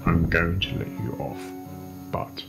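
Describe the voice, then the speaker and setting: A man voicing a calm, reassuring pony detective doctor in a dramatic fan fiction reading. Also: sits low, a little slow, vocal fry. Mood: reassuring, determined. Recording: loud music under the voice.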